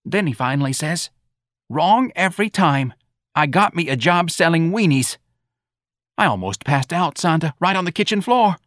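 Casting: aggrieved older New mama done by a male reader, high-pitched, whining, lively swoops, audiobook dialogue. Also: normal speed, no room sound, studio quality. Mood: grieving, aggrieved. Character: Irene Riley, plaintive, fretful aging Southern mother.

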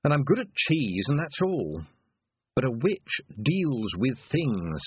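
A man's voice: mid-range voice, sing-song, takes it slowly, slightly rough, no echo, exaggerated voice. A man voicing an earnest, unsure young girl who would be a witch, with a plaintive manner, in a storybook. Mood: worried. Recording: narrow phone-like lo-fi recording.

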